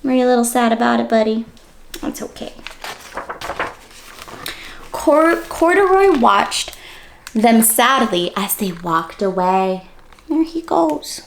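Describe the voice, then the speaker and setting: A woman's voice: fairly high pitch, expressive, slow, creaky, long pauses, slight background noise. A woman hosting an interactive read-aloud for young children, speaking directly to them with questions and exaggerated wonder. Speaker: children's story-time host and reader.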